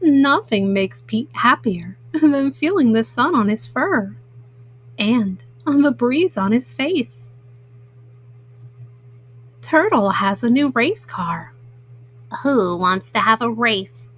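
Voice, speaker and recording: A woman storyteller reading a children's picture book at bedtime, slowly and simply, as a mama to young listeners. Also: a little high, sing-song, breathy edge. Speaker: storyteller host.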